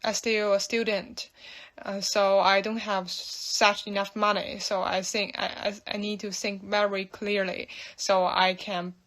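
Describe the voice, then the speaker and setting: A young woman from mainland China with a Chinese accent, answering casually and haltingly as she searches for words. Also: mid-range voice, level tone, fairly bright tone. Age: young adult. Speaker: student. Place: indoor room.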